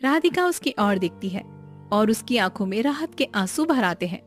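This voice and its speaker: A woman's voice: mid-range voice, animated, moderate pace, faint music behind. A woman narrating a scripted story.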